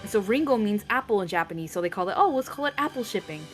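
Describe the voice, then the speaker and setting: A woman's voice: medium pitch, animated, quick pace, a bit bright, soft background music. A young woman in a casual chat. She talks in a hesitant, chatty way and uses many fillers. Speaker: co-host. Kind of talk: casual chat ranking anime couples.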